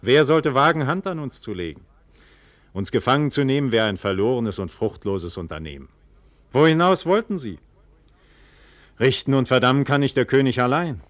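A man's voice: medium pitch, expressive, normal speed, dry recording, natural voice. An actor playing a proud, trusting, impassioned nobleman in a classical German radio drama, with emphatic, rhetorical delivery. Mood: indignant, confident.

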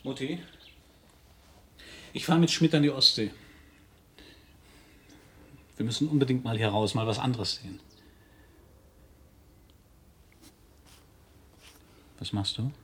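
A man's voice: medium pitch, animated, moderate pace, long pauses, close to an everyday voice. An actor playing a restless, troubled young man, a grown son, terse and brooding in a naturalistic radio drama. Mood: puzzled. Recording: echoey, reverberant radio drama recording.

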